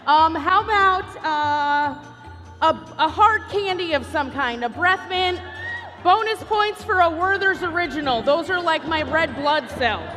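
Female stage co-host, very high pitch, off-the-cuff giveaway patter in a reverberant hall. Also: lively intonation, measured pace, pushed and bright, a faint crowd. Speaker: co-host of a live podcast stage show. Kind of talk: unscripted live stage banter and giveaway hosting. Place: large convention hall stage, over PA. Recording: hall reverb, music behind, live stage.

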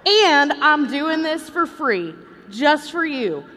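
A woman's voice: high-pitched, very animated, takes it slowly, bright, forceful tone, a little hiss or hum, heavily acted. A woman playing a brash, self-promoting, showy gig musician in a live comedy sketch. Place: convention stage in a large hall. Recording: echoey hall with music.

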